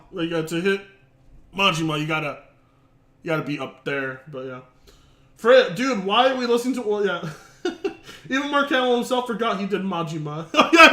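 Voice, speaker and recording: Man, casual stream chat, rambling, hesitant, bright voice, echoey room. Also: high voice. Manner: rambling, hesitant, swears and laughs often. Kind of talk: casual live-stream reaction.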